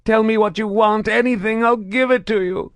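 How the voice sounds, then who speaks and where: A terrified whining alien merc. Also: male voice, fairly even intonation, normal speed, no echo. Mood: terrified pleading. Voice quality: high-pitched mewling whine. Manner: pleading, desperate, stammering. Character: terrified crippled male alien mercenary, begging.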